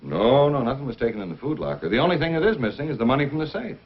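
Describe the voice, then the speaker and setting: An actor playing a worried, talkative logging boss who explains emphatically in an old-time radio adventure. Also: male voice, mid-range voice, big pitch swings, racing, close to an everyday voice. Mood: concerned. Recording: dull old radio, faint noise.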